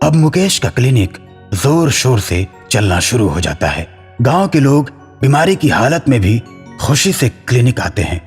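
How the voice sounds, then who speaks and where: A man's voice: medium pitch, big pitch swings, moderate pace, slightly rough, big-room sound, faint music behind. A man giving scripted narration for a horror story.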